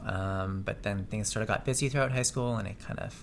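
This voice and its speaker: A man's voice: on the low side, fairly quick, gravelly, a little hiss or hum. A man from Toronto with a Canadian accent, an interview guest casually recounting his personal history. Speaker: interview guest, fashion designer.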